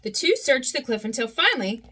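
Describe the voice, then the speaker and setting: A young adult woman reading a children's storybook aloud with an expressive, animated delivery. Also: high voice, a little fast.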